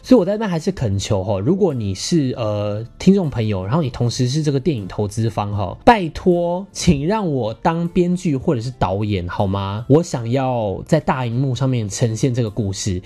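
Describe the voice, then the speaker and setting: A man's voice: mid-range voice, lively intonation, moderate pace, few pauses, mellow. A man with a Taiwanese Mandarin accent hosting a podcast, speaking colloquially and earnestly to listeners as he shares personal stories and relationship advice. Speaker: podcast host.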